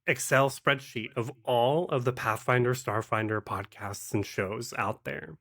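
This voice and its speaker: Male podcast guest, medium pitch, conversational and informal interview answers. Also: a little slow, a bit bright. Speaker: podcast guest, actual-play podcaster and game master. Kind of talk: casual interview chat.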